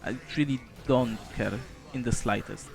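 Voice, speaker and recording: A man's voice: medium pitch, normal speed, gravelly, faint music behind. A young man from Poland, a streamer giving casual, laid-back gameplay commentary, chatting and swearing now and then.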